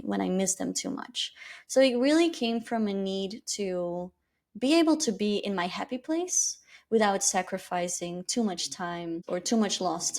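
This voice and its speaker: Candid Flemish-accented woman podcaster. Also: mid-range voice. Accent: Flemish Belgian. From Belgium. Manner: candid and reflective, with lively rises. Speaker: podcast host. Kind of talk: personal storytelling monologue.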